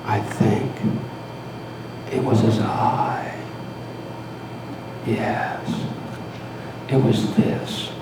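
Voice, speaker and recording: A man playing a mad, obsessive, gloating murderer in a theatrical story reading, with dramatic pauses and hushed whispers that swell into manic cries. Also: medium pitch. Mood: brooding, hushed. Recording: roomy echo, clear background noise.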